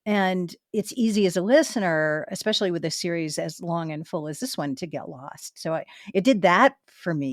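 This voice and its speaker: A woman hosts a podcast interview in a casual, enthusiastic and conversational way. Her voice sits fairly low for a woman. The recording is close and dry, with no room sound. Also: no room sound. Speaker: podcast host.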